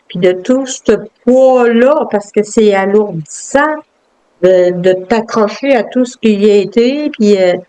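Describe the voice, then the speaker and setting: A woman's voice: a little high, darkish tone, low-quality recording. Reflective Quebec French woman. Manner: reflective, halting with many fillers and restarts. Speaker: guest. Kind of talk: casual interview talk.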